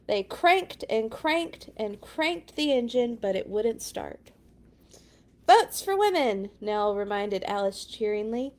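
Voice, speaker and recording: A woman's voice: a little high, a little mellow. A woman reading a picture book aloud to children, with dramatic emphasis and chatty asides. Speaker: children's read-along storyteller.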